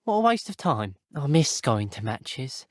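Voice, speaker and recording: An earnest British schoolboy wizard from a video game, chatting in a mid-pitched teenage voice. He is well-mannered and wry, with old-fashioned phrasing. The sound is dry and close, with no room around it. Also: very animated, unhurried, no echo. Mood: chatty, wry. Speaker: voice actor. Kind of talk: scripted video game character voice clips.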